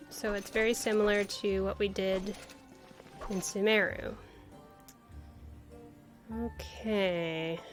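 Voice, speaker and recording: A woman streamer giving casual live game commentary, thinking aloud in short questions and asides. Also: mid-range voice. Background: faint game music.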